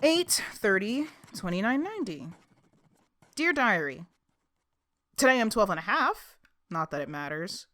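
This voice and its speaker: A woman's voice: medium pitch, big pitch swings, a little slow, pauses often, pushed and bright. A woman playing a chatty, cheeky twelve-year-old girl narrating her diary informally in an audio drama, with music behind.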